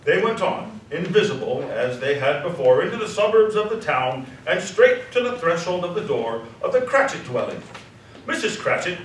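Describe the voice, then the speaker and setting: A man narrating a scripted story dramatically, savoring the descriptive words with expressive delivery. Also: a little high. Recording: echoey hall, distant mic, faint noise.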